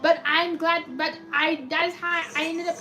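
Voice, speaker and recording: A woman with a robotic-sounding voice chatting excitedly through a live slot machine stream, reading and answering chat comments. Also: very high-pitched, normal speed, soft background music. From United States. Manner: chatty, excitable cheering at spins.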